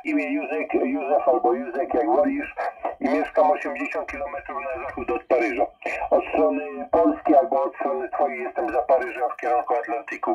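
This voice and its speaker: Man on shortwave radio, lively swooping pitch, dull distant reception, ham operator chat. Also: a little fast, vocal fry, slightly rough.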